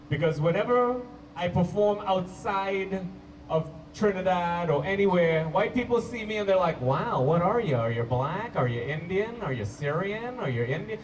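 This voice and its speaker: A man with a Canadian accent performing stand-up comedy to a live audience, telling stories playfully with drawn-out emphasis and pauses. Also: high-pitched, echoey room. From Toronto, Canada. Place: live comedy show at a park venue. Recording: distant mic, hissy live-show camcorder sound.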